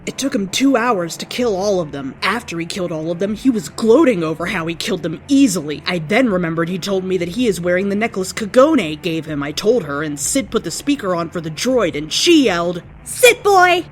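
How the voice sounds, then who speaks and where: A woman's voice: on the low side, lively intonation, a little fast, hardly any pauses, a little hiss or hum. A woman giving a theatrical, dramatic reading of an amateur fan fiction story. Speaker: story reader.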